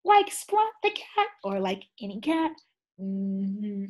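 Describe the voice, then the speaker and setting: Woman teacher, slow and lively read-aloud voice, a little breathy. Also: mid-range voice. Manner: animated, gasps and sighs, asks children questions. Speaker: second grade teacher. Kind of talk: children's read-aloud with questions.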